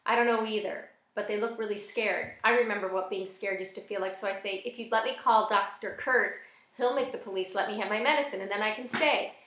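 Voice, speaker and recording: A woman's voice: mid-range voice, fairly quick, a bit bright, lightly acted. A woman voicing a naive, anxious male character in a novel, with a simple and sincere way of speaking. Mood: earnest. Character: naive, earnest, anxious male misfit narrator. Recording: roomy, echoing space.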